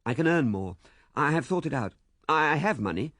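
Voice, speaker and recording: A man's voice: a little high, big pitch swings, unhurried, creaky, breathy edge, no echo, clean studio sound, pitched above the performer's own voice, heavily acted. A man voicing an ardent, determined young suitor in an audiobook, speaking with urgent, insistent emphasis. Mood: insistent, pleading. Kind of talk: audiobook character dialogue.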